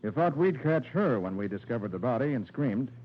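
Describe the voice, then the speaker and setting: An actor playing a brilliant, composed private detective in a 1940s radio drama, speaking in an assured, probing way. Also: male voice, mid-range voice, sing-song, brisk, lightly acted. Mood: cool, probing. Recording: dull, faintly noisy old radio recording with hum.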